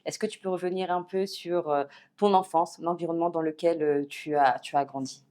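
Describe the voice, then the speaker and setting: A woman's voice: fairly low pitch, steady tone, normal speed, mellow. A woman hosting a talk show, putting questions to a guest in a conversational interview style.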